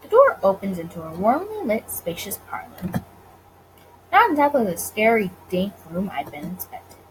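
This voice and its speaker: A woman's voice: mid-range voice, big pitch swings, normal speed, low-quality recording. A woman gaming creator chatting casually and reading visual novel narration aloud, recorded with faint noise behind her. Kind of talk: casual let's play with read-aloud narration.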